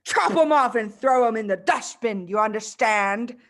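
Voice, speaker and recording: A huge, bullying headmistress played by a woman reading a storybook aloud bellows and barks her orders. Her voice is pushed up high and loud, full of menace and contempt for children. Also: moderate pace. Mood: furious, bullying. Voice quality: barking, bellowing. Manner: bullying, insulting.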